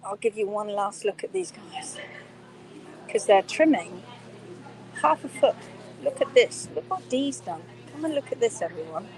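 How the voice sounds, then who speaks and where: A woman's voice: medium pitch, animated, unhurried, darkish tone, poor audio. A woman hosting a live-streamed hoof-trimming workshop, speaking emphatically and quizzing her students, filmed on a phone indoors. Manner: emphatic, reads viewer comments aloud.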